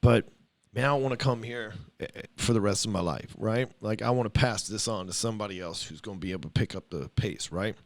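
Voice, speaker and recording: A man's voice: lowish voice, quick pace. A man in his forties hosting a podcast, talking bluntly and swearing freely as he lays out his views in casual chat. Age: 40s. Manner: blunt, profane, lecturing. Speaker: podcast host.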